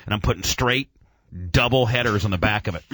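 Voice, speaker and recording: A man's voice: lowish voice, normal speed, bright, forceful tone. A man hosting a casual motoring radio chat, rambling enthusiastically in technical gearhead jargon with mild swearing. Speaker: radio show host.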